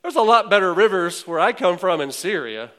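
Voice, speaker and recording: A preacher putting on a scoffing proud general. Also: male voice, sits high, animated, brisk, pushed and bright, pitched above the performer's own voice. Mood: indignant. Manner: scoffing, incredulous. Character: proud indignant male army commander.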